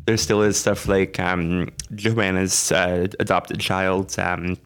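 A man co-hosting a podcast, hoarse from a flu, talking in a relaxed, thoughtful way with many pauses. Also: sits low. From Canada. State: hoarse, getting over a flu. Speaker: podcast co-host. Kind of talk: casual chat. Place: dark basement room.

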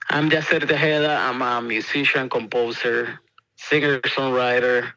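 A man's voice: mid-range voice, fairly even intonation, moderate pace, sharp tone, no room sound, poor audio. A man from the Dominican Republic with a Dominican Spanish accent, a musician answering interview questions in an easygoing way. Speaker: musician guest.

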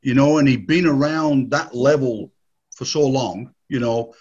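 Fifties man, husky, rambling nostalgic storyteller, muffled call audio. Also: mid-range voice, unhurried, darkish tone. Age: 50s. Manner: rambling, nostalgic, laughs often, many restarts. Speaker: guest, former footballer. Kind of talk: casual reminiscing chat.

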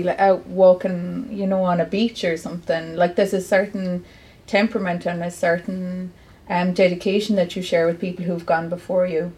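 A woman's voice: on the low side, darkish tone, rough recording. An Irish woman from Clare, a poet, answering earnestly at a live bookshop interview. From County Clare, Ireland. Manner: earnest, reflective, with many fillers. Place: bookshop event.

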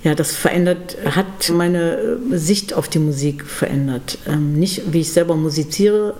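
Woman, middle-aged, interview guest, low pitch, mellow tone, hesitant, reflective. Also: unhurried. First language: German. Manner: thoughtful, many hesitations and fillers. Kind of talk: casual interview conversation.

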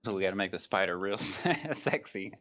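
A man's voice: sits low, animated, moderate pace, fairly bright tone. A man in his twenties on a casual podcast, blunt and crude in his jokes. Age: 20s. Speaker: podcast co-host. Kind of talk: casual chat.

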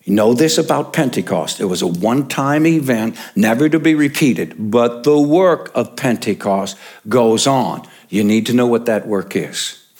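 A man's voice: medium pitch, normal speed, darkish tone. A male pastor preaching a sermon in church, stressing and repeating his main points for the congregation.

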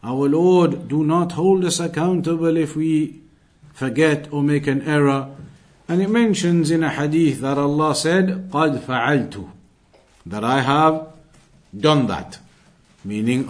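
A man with a slightly high voice teaches a religious class. He reads from a text and explains it deliberately, often restating each point. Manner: deliberate, repetitive explaining, switching between recited Arabic and English. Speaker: Islamic studies teacher reading and explaining a text. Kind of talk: religious lesson, reading Arabic then translating and explaining in English.

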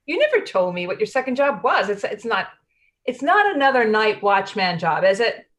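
A woman acts out a fretful wife in a stage play. Her pitch moves around a great deal as she stammers and hesitates, evasive and flustered, like someone hiding a secret. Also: very animated, moderate pace, a bit bright. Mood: nervous, evasive. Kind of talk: play reading.

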